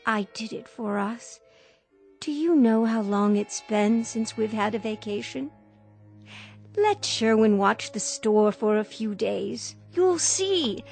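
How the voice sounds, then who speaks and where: An actress playing a sweet, eager, long-suffering older wife in a radio drama, solicitous and earnestly pleading. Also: female voice, a little high, animated, slow, gravelly, a little mellow, soft background music, full character voice. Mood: earnest, excited.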